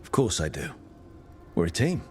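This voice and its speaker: An actor playing an earnest, steady mage who leads a team in a fantasy video game, speaking in a measured, serious way. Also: male voice, sits low, soft background music. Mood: concerned. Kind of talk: cinematic video game dialogue.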